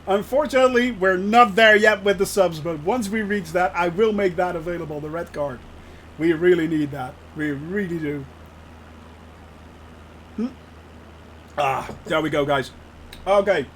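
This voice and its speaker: Dutch-accented man, high voice, chatty streamer, laughs a lot, faint background noise. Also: measured pace, long pauses, a bit bright, poor audio. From Netherlands. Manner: chatty, laughs often, replies to chat. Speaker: flight sim streamer. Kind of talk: casual live-stream commentary.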